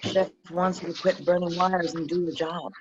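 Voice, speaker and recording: A woman's voice: on the low side, steady tone, normal speed, close to an everyday voice. A woman reading a sly, teasing young waitress in a table read. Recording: dull recording with faint noise.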